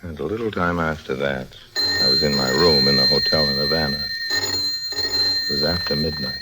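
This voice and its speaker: A man's voice: a bass voice, moderate pace, very noisy. An actor playing a wily, wisecracking con man in a 1950s radio drama, with a breezy, casual manner and sly asides. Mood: wry narration. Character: wily wisecracking con-man and narrator of his own misadventures.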